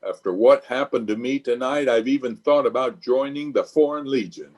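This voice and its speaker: An actor playing a blustery, pompous, scheming middle-aged water commissioner, hammy and exclamatory, in an old-time radio comedy. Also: male voice, mid-range voice, normal speed, a little mellow, a little hiss or hum, natural voice. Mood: rueful, avuncular.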